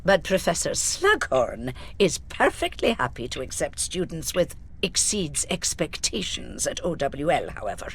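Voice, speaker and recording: A prim older schoolmistress speaks in a formal, dry way for a video game. Her stern delivery has lively rises and falls. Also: sits low, a little slow, hardly any pauses, slight background noise, heavily acted. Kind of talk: video game cutscene acting.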